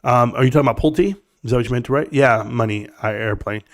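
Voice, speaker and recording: A man's voice: medium pitch, quick pace, dry recording, clean studio sound. Blunt man with a scratchy voice. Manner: blunt, emphatic, talks straight to the chat. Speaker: stock market live streamer. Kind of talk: opinionated casual livestream rant.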